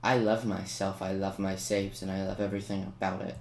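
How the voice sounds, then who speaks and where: A man's voice: low voice, little pitch movement, fairly quick, a little mellow. A man giving casual, self-mocking and playfully boastful commentary over his own gameplay. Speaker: gaming video maker. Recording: roomy, noisy home recording with keyboard clicks.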